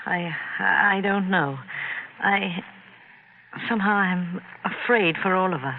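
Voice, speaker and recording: A woman's voice: on the low side, slow pace, slight background noise. An actress playing an anxious wife who pleads with her husband in fear, in a 1970s radio drama. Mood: fearful pleading. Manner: pleading, fearful. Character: anxious young wife, fearful. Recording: tinny lo-fi old radio broadcast.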